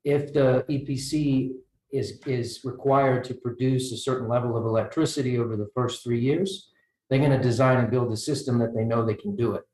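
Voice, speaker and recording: A man's voice: medium pitch, fairly even intonation, moderate pace, a little mellow. An American man speaking as a panelist in a discussion, with frequent restarts and repeated words as he thinks. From United States. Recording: roomy echo.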